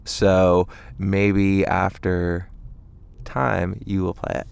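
A man's voice: low voice, level tone, extremely slow, gravelly, a little mellow, clear, resonant tone. A man, a band frontman, chatting casually as an interview guest in a hesitant, rambling way. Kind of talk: casual chat. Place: inside a parked tour van.

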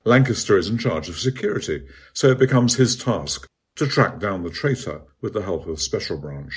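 A man with a low-pitched voice narrates a scripted literary essay. His tone is noticeably husky and a little rough-edged. He reads in a measured, bookish manner, as an author presenting his own writing. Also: animated. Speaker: author reading own article.

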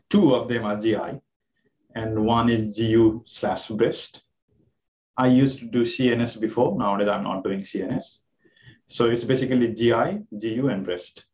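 A man from Nepal, an oncologist, answering interview questions softly and hesitantly with many fillers, on a remote call. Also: fairly low pitch, measured pace. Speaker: oncologist guest. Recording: distant, roomy, dull remote call.

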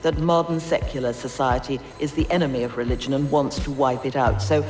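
A man giving analytical commentary in a measured, explanatory way, searching for his words. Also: mid-range voice, steady tone, darkish tone. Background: faint music.

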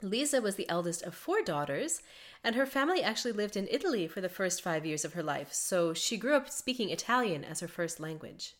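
Chatty woman podcast host with laughter. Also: fairly low pitch. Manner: chatty, laughs often between facts. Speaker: podcast host and mezzo-soprano. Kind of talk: conversational podcast storytelling.

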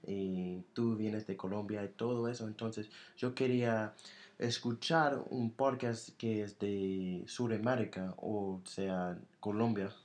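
Man, low voice, slow, halting, restarts phrases, casual interview. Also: fairly even intonation, a little mellow. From United States. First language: English. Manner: halting, searching for words with many restarts. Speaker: podcast co-host.

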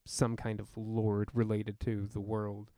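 A man's voice: on the low side, monotone, slow, dark tone, dry recording. Casual man co-hosting a dry-sounding review podcast. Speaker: podcast co-host reviewing films. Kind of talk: casual film discussion.

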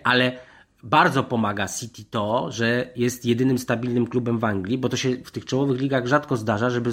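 A man discussing football as a panel pundit, unscripted and wordy, often breaking off and restarting his sentences. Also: mid-range voice. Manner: wordy, digressive, halting with repeated restarts.

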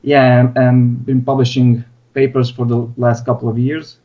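A man's voice: medium pitch, little pitch movement, moderate pace, mellow. Dull-sounding call, young man with Balkan accent. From Bosnia. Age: young adult. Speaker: podcast guest, sports science researcher. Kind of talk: casual chat. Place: remote Skype call. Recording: dull, lo-fi internet call audio.

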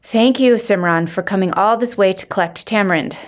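A woman voicing a caring father with a gentle, reassuring manner in a children's storybook. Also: mid-range voice, brisk, close to an everyday voice. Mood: polite. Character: caring, apologetic father of a young girl.

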